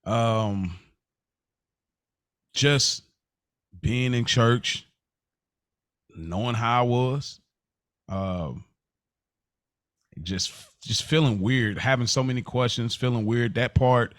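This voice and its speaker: A man's voice: medium pitch, takes it slowly, long pauses, fairly bright tone, dry recording, studio quality. A man co-hosting a podcast, talking candidly and reflectively in a casual, slangy way. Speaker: podcast co-host.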